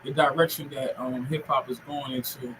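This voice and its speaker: A man's voice: medium pitch, flat delivery, normal speed, background noise. A man in his forties hosting a podcast in casual, rambling, slangy and profane talk. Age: 40s. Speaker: podcast host. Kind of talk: casual interview chat.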